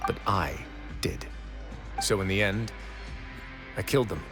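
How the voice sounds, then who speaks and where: A man playing a composed, brooding duke who runs a fortress, speaking gravely and deliberately in video game dialogue. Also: low-pitched, a bit bright, soft background music, heavily acted. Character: composed, brooding adult male fortress duke with dark past.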